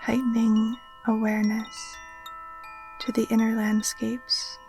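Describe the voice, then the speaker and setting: A woman gently guiding a relaxation meditation in a soft, breathy, near-whispered voice, soothing and unhurried. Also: fairly high pitch, level tone, faint music behind. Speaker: yoga nidra teacher. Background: occasional chimes.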